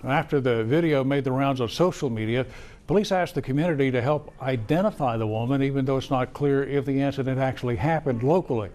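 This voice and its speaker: A man's voice: mid-range voice, fast, darkish tone. An American man reading the news as a TV anchor.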